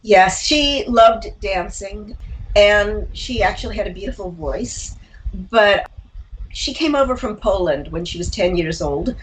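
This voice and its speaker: An older woman talking reflectively as an interview guest, a writer and actor who tells personal stories haltingly, with candid asides. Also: mid-range voice, lively intonation, a little hiss or hum, poor audio.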